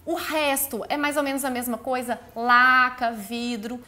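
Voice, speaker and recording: A high, bright female voice with a Brazilian Portuguese accent. She explains a project informally, like an architect walking viewers through her work. Her delivery is chatty and peppered with affectionate diminutives. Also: steady tone, unhurried. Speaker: architect presenting her interior design project.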